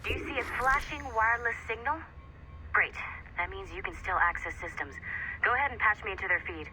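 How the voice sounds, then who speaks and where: A woman's voice: mid-range voice, animated, fairly quick, natural voice. A woman playing a cheeky tech-security contractor in a video game, talking in a casual, wry, teasing way. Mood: instructive, brisk. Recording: clear background noise.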